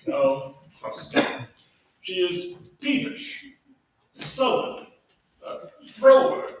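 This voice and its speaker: A man acting an aging duke, high-pitched and theatrical, echoey hall. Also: very animated, heavily acted. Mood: aggrieved. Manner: theatrical, halting, deliberately drawn-out delivery. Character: aging, scheming duke and exasperated father. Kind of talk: staged reading of Shakespeare. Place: staged reading in a large echoey room. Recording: echoey hall from far off, faint noise.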